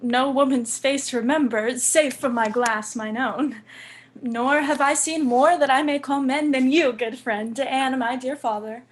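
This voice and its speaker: A woman acts a devoted young maiden in a Shakespearean romance. The voice is high, with a tender, earnest delivery. The maiden is innocent and modest, yet forthright in love. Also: a little slow, slight background noise. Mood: shy, confiding. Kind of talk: Shakespearean stage acting.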